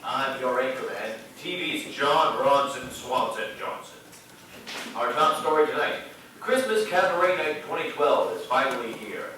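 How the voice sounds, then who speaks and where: A man's voice: mid-range voice, expressive, unhurried, pushed and bright. A man playing a self-important TV news anchorman, reading mock evening news in a formal announcer's delivery. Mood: formal, announcing. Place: large echoey room, live stage show. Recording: echoey hall from far off, faint noise.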